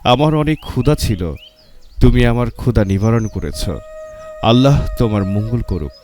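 A man's voice: mid-range voice, animated, normal speed, a little mellow, soft background music, close to an everyday voice. A man voicing a humble, thankful hungry stranger in a storybook tale. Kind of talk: storybook character voice.

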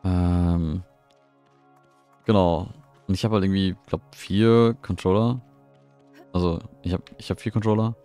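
A man's voice: sits low, fairly even intonation, measured pace, long pauses, dark tone, clear, resonant tone. A middle-aged man chatting casually while streaming a game from home, rambling and answering his chat, often puzzled. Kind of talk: casual live gameplay commentary. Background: faint game music.

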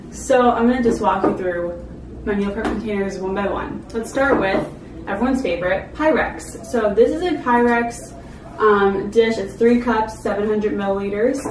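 A young adult woman giving a casual, chatty product review to camera in an informal way. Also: mid-range voice. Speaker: food vlogger reviewing products. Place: small indoor room, seated at a table. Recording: roomy, distant mic with clear background noise.

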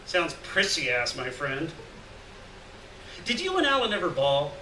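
A man's voice: a little high, wildly swooping pitch, a little slow, pushed and bright, heavily acted. A man voicing a rowdy, crude, hard-drinking beatnik drifter in a live story reading, boisterous and slangy. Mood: boisterous, teasing. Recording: roomy, distant mic, faint noise.

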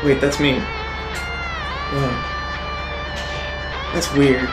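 A man's voice: medium pitch, flat delivery, drawn-out words. A man playing in a tabletop roleplaying game, improvising casually in a dry, offhand way. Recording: roomy sound under loud guitar music.

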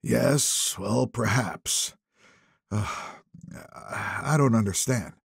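A wealthy older man acted out by a male narrator speaks with courteous command in an audiobook. His voice is husky and creaky, in a very dry recording. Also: medium pitch, extremely slow, no room sound, full character voice. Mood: uneasy. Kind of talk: audiobook character dialogue.

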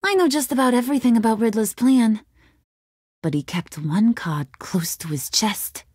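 A woman's voice: on the high side, animated, normal speed, darkish tone, husky, dry recording, clean studio sound. An actress playing a cunning, menacing young female gang boss in a video game, taunting and theatrical, swinging from sweet to threatening. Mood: commanding.

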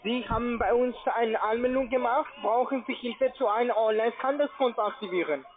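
A man's voice: extremely high pitch, brisk. A man with a foreign accent in non-native German, making a formal, repetitive scripted sales call as a call center agent. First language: not German. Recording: tinny, band-limited phone line.

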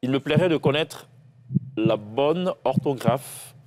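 A man's voice: mid-range voice, lively intonation, a little mellow. A young man hosting a televised spelling quiz in a studio, teasing contestants and drawing out questions. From Côte d'Ivoire. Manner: playful, teasing, drawn-out questions. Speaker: game show host. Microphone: lapel mic.

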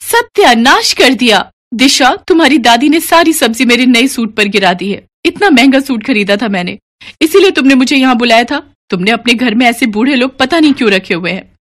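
A woman's voice: a little high, expressive, a little fast, fairly bright tone, voice pushed up. A woman voicing an indignant, snobbish young guest in a cartoon story, huffy and complaining. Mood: outraged.

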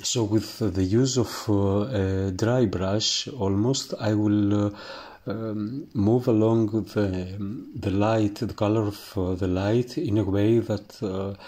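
Greek man, painting teacher, slow halting delivery, lowish voice, lots of ums. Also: steady tone, warm tone. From Greece. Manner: halting, searching for words, many fillers. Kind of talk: instructional voice-over while painting.

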